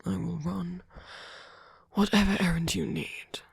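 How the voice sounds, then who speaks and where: A sweet, doting vampire boyfriend, acted out by a performer, speaks in a very breathy half-whisper. He is gentle and attentive, halting and rambling softly through an ASMR comfort roleplay. The sound is close and dry, with a creaky edge. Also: medium pitch, fairly even intonation, dry recording, exaggerated voice. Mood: tender, reassuring. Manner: hesitant, halting, rambling, with soft filler murmurs.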